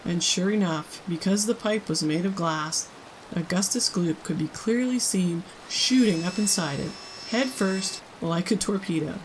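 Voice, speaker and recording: A woman hosting a storytime, reading a children's book aloud in an expressive narrating style. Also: on the low side, unhurried, noisy background. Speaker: storytime host and reader.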